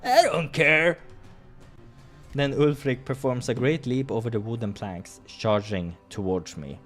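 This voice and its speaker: Male playthrough host: mid-pitched, expressive reader of game text, casual asides, faint music underneath. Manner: expressive storytelling. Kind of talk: reading a visual novel aloud with casual asides.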